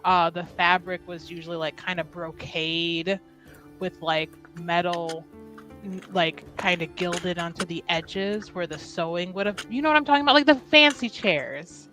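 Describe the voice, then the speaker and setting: Woman player at a tabletop game, bright voice, rambly and giggly, quiet music behind. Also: fairly low pitch, moderate pace. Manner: chatty, giggly, rambling descriptions. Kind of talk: casual tabletop game play.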